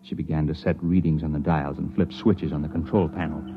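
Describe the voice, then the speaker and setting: An actor playing a wary investigator in a 1950s radio drama, in a hard-boiled, uneasy manner. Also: male voice, rumbling low, level tone, fairly quick, background noise. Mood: tense narration. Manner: hard-boiled storytelling, uneasy protests when speaking. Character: wary, rattled male investigator narrating his own ordeal. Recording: muffled 1950s radio recording with music.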